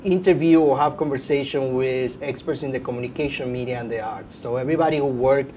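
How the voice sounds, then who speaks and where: A man's voice: fast talker, dark tone. Middle-aged Venezuelan man, noisy recording. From Caracas, Venezuela. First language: Spanish. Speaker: guest, professor and podcast host. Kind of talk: conversational interview answers. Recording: clear background noise with low rumble.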